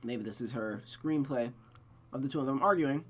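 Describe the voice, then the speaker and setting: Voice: medium pitch, normal speed, breathy edge. Narração casual de visual novel, tom médio. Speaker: streamer reading a visual novel aloud. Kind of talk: story narration with casual commentary.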